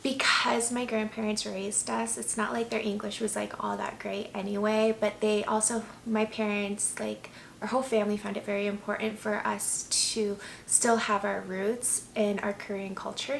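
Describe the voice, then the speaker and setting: Gravelly-voiced young woman, casual and chatty. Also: mid-range voice, a little hiss or hum. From United States. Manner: candid, chatty, many "like"s and "um"s. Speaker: vlogger. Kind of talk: casual personal storytelling.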